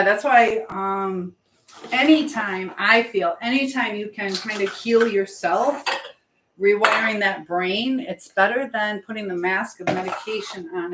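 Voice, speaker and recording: A woman's voice: mid-range voice, echoey room, noisy background. A woman hosting a live stream in casual chat, drifting informally between advice to viewers and comments on what she is doing.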